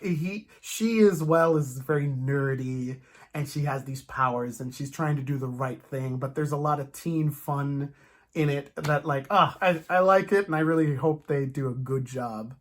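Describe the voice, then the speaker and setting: A podcast host chatting casually as a fan, in an animated, rambling, stop-start way with playful asides. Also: medium pitch. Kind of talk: casual comic book fan chat.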